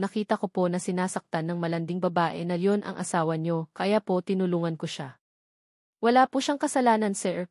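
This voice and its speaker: A woman's voice: fairly low pitch, a little mellow, dry recording, clean studio sound, lightly acted. A woman voicing a distressed young female secretary who pleads and explains earnestly, as in a dramatised novel reading.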